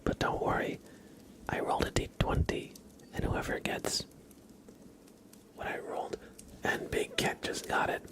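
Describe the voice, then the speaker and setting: A man hosting an ASMR livestream, speaking in a soft, breathy whisper with a gentle, informal way of chatting to viewers. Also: a little slow, long pauses. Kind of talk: whispered ASMR with casual chat to live viewers.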